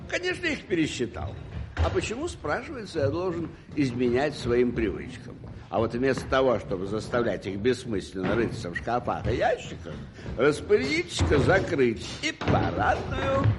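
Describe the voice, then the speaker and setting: An actor playing an imperious, irascible English duke in a radio play, haughty and exclamatory. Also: male voice, medium pitch, huge pitch swings, moderate pace. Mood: indignant. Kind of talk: 1980s Soviet radio play acting. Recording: noisy old radio recording.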